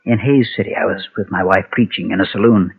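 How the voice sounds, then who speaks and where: An actor playing a guilt-ridden former preacher and gunfighter, solemn and halting, in a Golden Age radio western. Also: male voice, low voice, steady tone, slightly rough, full character voice. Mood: grave confession. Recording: muffled, thin vintage radio recording.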